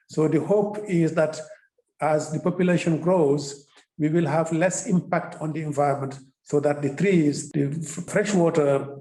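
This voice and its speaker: A man's voice: on the high side, warm tone. A man talking in an earnest, encouraging way, in simple phrases that he often repeats. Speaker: official in conversation with a young activist. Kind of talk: encouraging interview-style conversation. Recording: roomy, echoing sound.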